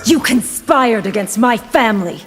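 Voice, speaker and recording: A woman's voice: a little high, expressive, moderate pace, loud music behind the voice, natural voice. A woman voicing a dignified, resolute warrior princess, stern and reproachful, in a fighting video game. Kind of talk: video game character dialogue. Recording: loud background noise.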